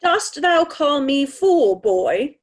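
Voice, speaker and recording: A woman's voice: high voice, big pitch swings, slow, exaggerated voice. A woman playing a proud, indignant old king in a Shakespearean reading, stately and commanding.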